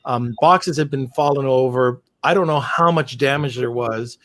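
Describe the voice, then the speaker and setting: A man's voice: medium pitch, moderate pace, fairly bright tone, no room sound. A man, a guest on an interview show, telling an anecdote casually with many fillers while he searches for words. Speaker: guest, logistics business founder.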